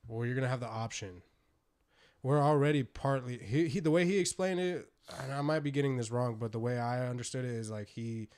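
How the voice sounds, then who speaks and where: A man in his thirties with a smooth, clear voice at a middling pitch. He talks like he is among friends on a podcast, loose and slangy, with plenty of swearing. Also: a little mellow. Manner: profane, slangy. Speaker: podcast co-host. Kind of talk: casual podcast chat.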